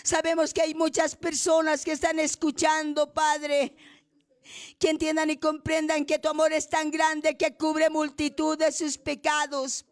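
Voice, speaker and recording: A woman's voice: high-pitched, monotone, measured pace. A woman leading a fervent spoken prayer, pleading and repeating her invocations between every phrase. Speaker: prayer leader.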